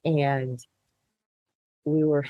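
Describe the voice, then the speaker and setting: Reflective guest who thinks aloud; mid-pitched voice, dry close sound. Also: level tone, drawn-out words, no echo, highs cut off. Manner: reflective, thinks aloud, acts out past thoughts. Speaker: guest, business co-founder. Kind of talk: conversational interview.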